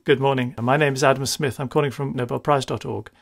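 A British man conducting an interview in a courteous, slightly halting manner. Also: medium pitch, fairly even intonation, gravelly. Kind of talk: polite telephone interview.

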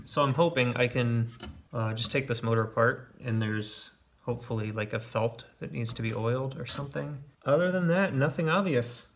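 A man's voice: medium pitch, moderate pace, creaky. A man in his forties explaining a repair casually, in a plainspoken, matter-of-fact and slightly self-deprecating way. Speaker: home repair video host. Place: indoor home workshop.

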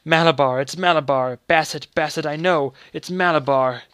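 A man's voice: slow pace, a little mellow, clear, resonant tone, dry recording. A man putting on a feverish, obsessed young boy. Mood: delirious. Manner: high, fevered and insistent.

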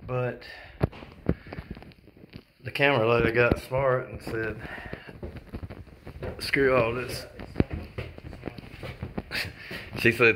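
A man giving a rambling, relaxed walkthrough of a house he is renovating, recorded on a handheld phone. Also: medium pitch. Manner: rambling, relaxed, chuckling asides. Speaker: house flipper showing a renovation. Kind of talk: casual walkthrough vlog. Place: empty house, indoors and out. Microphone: phone camera held while walking. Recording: noisy handheld phone audio.

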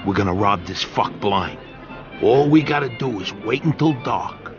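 An actor playing a brash, streetwise young small-time crook in a game cutscene, with an American accent and breezy, slangy talk. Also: male voice, on the low side, expressive, normal speed, highs cut off. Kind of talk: video game cutscene acting. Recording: music behind the voice.

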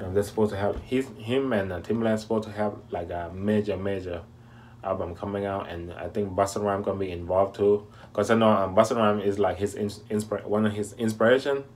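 A man in his thirties hosting a casual reaction video from a small room at home, talking in a relaxed, rambling way with lots of fillers into a close webcam mic. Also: sits low, slight background noise. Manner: laid-back, rambling, many fillers.

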